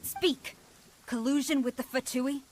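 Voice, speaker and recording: A woman's voice: high voice, steady tone, measured pace, a little hiss or hum. A woman playing a stern, dutiful military commander in a video game, formal, clipped and authoritative. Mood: angry, commanding.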